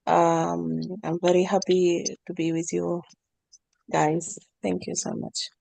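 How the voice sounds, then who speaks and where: A woman's voice: fairly low pitch, completely flat delivery, slow pace, vocal fry, a little mellow, no room sound, low-quality recording. A woman from Sudan introducing herself plainly on a video call. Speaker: meeting participant.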